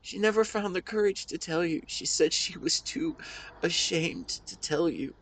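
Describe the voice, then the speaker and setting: A man playing a grieving, devoted husband who speaks to his late wife in a tender, emotional, halting way, as an intimate audio roleplay monologue. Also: a little high, fairly bright tone, low-quality recording. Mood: tender, bittersweet.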